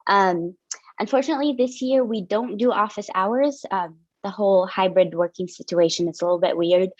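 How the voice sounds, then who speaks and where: A woman's voice: medium pitch, normal speed, a little mellow, no room sound. Woman, young adult, from syria, student officer presenting, lots of fillers, dry close sound, muffled call audio. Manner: casual, filler-heavy explaining. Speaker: student government finance officer. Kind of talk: presenting over a video call. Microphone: video call. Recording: dull lo-fi video call audio.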